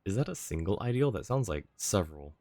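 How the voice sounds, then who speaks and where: A livestream host giving casual, animated game commentary with joking asides, close on the mic. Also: sits low, quick pace, background noise. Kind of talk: casual game stream commentary and read-aloud.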